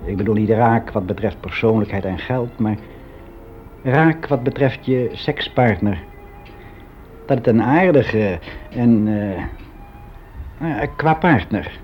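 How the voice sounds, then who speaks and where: An actor playing a frank, wistful clerk of about sixty in a radio drama monologue, speaking in a musing, halting, confiding way. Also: male voice, on the low side, lively intonation, slight background noise. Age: older, about sixty. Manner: musing, halting, candid, with drawn-out phrases. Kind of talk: confessional radio drama monologue, conversational and hesitant. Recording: dull lo-fi radio play recording.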